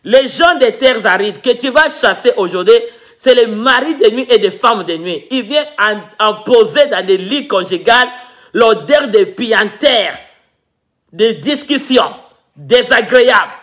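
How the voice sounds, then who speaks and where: A man preaching a fervent sermon as pastor, breaking into shouts, whose first language is not the one he preaches in. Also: high-pitched, lively intonation, measured pace. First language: a Congolese language.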